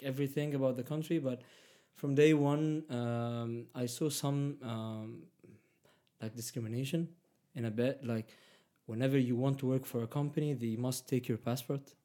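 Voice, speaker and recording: A man's voice: medium pitch, steady tone, normal speed, dark tone. Hesitant Arabic-speaking man in casual talk. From Palestinian, raised in Egypt. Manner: hesitant, many fillers and restarts. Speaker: guest. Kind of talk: casual conversation.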